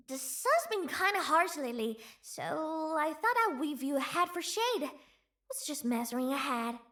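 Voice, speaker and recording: Proud young feline village chief, high lively game voice, playful and bossy. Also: female voice, heavily acted. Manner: bouncy, teasing, quick to laugh. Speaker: game character voice. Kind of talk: video game voice lines.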